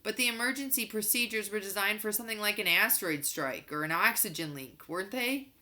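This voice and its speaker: An insistent, precocious boy from a children's novel, played by a woman reader. The voice is forceful and very bright, and he argues his case stubbornly. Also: medium pitch, a little fast, pitched above the performer's own voice. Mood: insistent, questioning. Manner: insistent, argumentative. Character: young boy Dashiell, precocious, stubborn moon-base kid.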